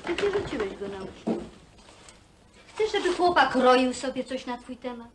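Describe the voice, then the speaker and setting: A somewhat high middle-aged female voice plays a protective, nagging mother. She speaks firmly in naturalistic film dialogue, over a faintly noisy old soundtrack. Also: sing-song, moderate pace.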